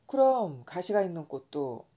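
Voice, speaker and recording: A woman's voice: fairly low pitch, very animated, normal speed, dark tone. A woman reading as a brusque, busy grown-up pilot. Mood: offhand. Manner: brusque, offhand replies.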